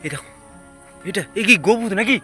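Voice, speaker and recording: A man's voice: sits high, lively intonation, normal speed, bright, forceful tone, soft background music. A man accompanying a vlogger outdoors in the woods at night, anxious and urgent, repeating his warnings. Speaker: vlogger's companion.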